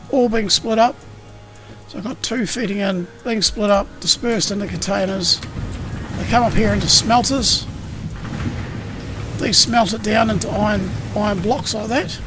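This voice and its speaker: A man streaming a factory-building game, explaining it in a rambling way with a slightly croaky voice. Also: sits high. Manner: rambling, self-correcting, explanatory. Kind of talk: casual gameplay explanation. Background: game music.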